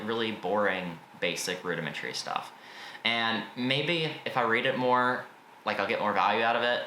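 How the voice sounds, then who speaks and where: A man's voice: on the low side, pushed and bright. A man giving a casual, informal spoken book review, blunt with his opinions but often hedging them. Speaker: book reviewer and video host. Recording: echoey room with faint background noise.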